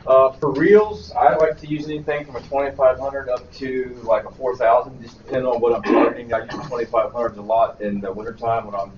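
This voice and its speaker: A man's voice: medium pitch, steady tone, brisk, few pauses, a little hiss or hum. A man, a charter captain, answering a panel question casually with many fillers. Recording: echoey room, far from mic, dull.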